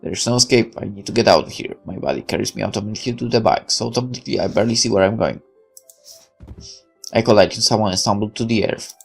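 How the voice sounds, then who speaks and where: A man's voice: on the low side, fast, vocal fry, husky, faint music behind. A man reading a visual novel aloud as he plays, with wry asides. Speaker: game player.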